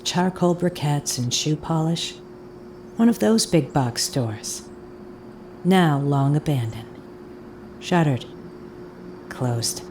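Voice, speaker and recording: An actress playing a haunted audio tour guide in a fiction monologue, speaking deliberately and haltingly, with insistent repetition. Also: female voice, low voice, mellow, a little hiss or hum, exaggerated voice. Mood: hushed, eerie. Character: eerie, haunted woman guiding an audio tour. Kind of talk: dramatic second-person audio fiction monologue.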